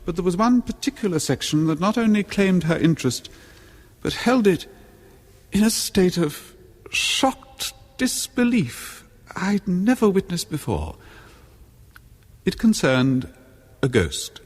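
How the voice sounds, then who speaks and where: An actor in a 1970s horror radio drama playing a jealous husband, haunted and brooding, telling his murderous story in a halting, theatrical way. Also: high-pitched, sing-song, exaggerated voice. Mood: sly, conspiratorial. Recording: long cavernous reverb on voice.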